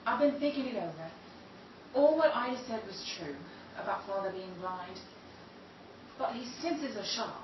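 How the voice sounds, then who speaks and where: An echoey, distant, noisy recording carries a woman acting as a bitter, scheming daughter in a radio-style drama. She speaks dramatically and deliberately, plotting with theatrical weight. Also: on the high side, dull audio. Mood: anxious. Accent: English. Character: bitter, scheming estranged daughter, plotting against her blind father.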